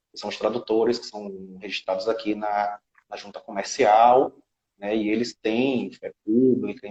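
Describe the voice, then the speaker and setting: Brazilian guy, legal specialist on an interview, calm step by step explaining, lots of little tag questions, kinda muffled audio. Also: lowish voice, echoey room. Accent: Brazilian Portuguese. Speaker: citizenship law specialist, guest.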